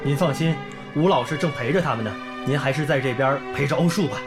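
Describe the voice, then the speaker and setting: An actor playing a devoted young village schoolteacher in a radio drama, earnest, caring and expressive. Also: male voice, mid-range voice, quick pace, natural voice. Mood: reassuring. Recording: music under the voice.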